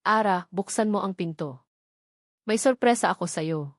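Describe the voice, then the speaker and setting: A woman's voice: mid-range voice, moderate pace, no echo, clean studio sound, close to an everyday voice. A woman with a Filipino accent voicing a tender, affectionate man in love in an audiobook drama. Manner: gentle, affectionate. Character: tender, hopeful man in love, romantic lead.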